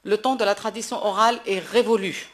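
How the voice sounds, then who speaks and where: A woman's voice: mid-range voice, fairly even intonation, a little fast. Woman from morocco, talking unscripted at a public debate, emphatic, repeats words while hunting for the right phrase. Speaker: classical opera singer speaking at a panel. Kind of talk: impromptu public speech at a debate.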